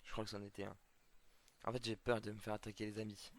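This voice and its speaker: A man's voice: on the low side, completely flat delivery, fast talker, slight background noise. Man with a cold narrating gameplay. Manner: chatty, addresses viewers as friends, hesitant with many fillers. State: slightly sick with a cold. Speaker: gameplay video host. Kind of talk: casual let's-play commentary to viewers.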